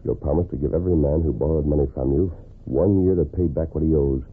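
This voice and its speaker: A man playing a calm, righteous masked western hero in a 1940s radio drama, speaking in a measured, stern voice. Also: a bass voice, breathy edge, full character voice. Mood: firm, controlled. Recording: tinny, noisy 1940s radio.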